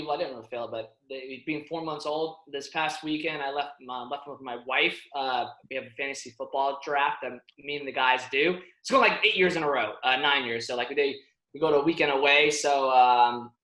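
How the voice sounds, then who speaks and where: Guest male coach on a video call: bright, relaxed chat, echoey room sound. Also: mid-range voice. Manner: easygoing, laughs often. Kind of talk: casual podcast chat.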